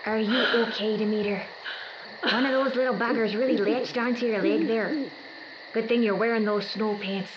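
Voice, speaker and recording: A woman's voice: on the high side, fairly even intonation, moderate pace, natural voice. A woman playing a breezy, motherly, folksy forager in an audio drama. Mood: breezy, reassuring. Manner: folksy, breezy, matter-of-fact. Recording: muffled, noisy night-time outdoor scene.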